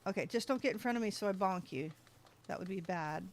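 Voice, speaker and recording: Gaming streamer, medium pitch, casual play commentary, thinking aloud, chatting to in-game animals. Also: brisk, slight background noise. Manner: talks to game animals and self.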